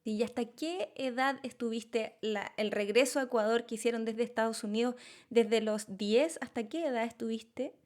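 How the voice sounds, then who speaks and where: A woman's voice: fairly high pitch, normal speed. A woman interviewing a guest, asking encouraging questions. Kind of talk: casual interview.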